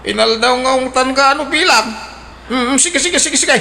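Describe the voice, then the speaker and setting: A man doing a scheming, excitable old father. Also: normal speed, vocal fry, a little hiss or hum, heavily acted. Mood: scheming, chatty. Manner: excitable, jumps high in pitch. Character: chatty old father Arot, nosy and scheming. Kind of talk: Ilocano radio drama acting.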